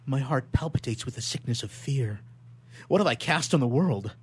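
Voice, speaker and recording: An actor playing an anguished, horror-stricken young scientist in a melodramatic radio play, declaiming in a halting, overwrought way. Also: male voice, medium pitch, lively intonation, husky. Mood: fearful, remorseful. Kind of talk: melodramatic 19th-century stage acting in radio theatre.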